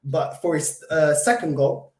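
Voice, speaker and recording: A man's voice: fairly high pitch, fairly even intonation, a little slow, darkish tone. A man with a London accent hosts a solo football fan reaction. He is recovering from an illness, so his voice is not at full strength, and he talks emphatically, with fillers and repeated restarts. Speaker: football fan channel host. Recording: echoey room.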